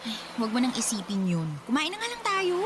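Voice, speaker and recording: A woman's voice: fairly high pitch, huge pitch swings, brisk, background noise. A woman playing an evasive young coworker in a radio drama, defensive and stammering, with a Filipino accent. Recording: music behind studio dialogue.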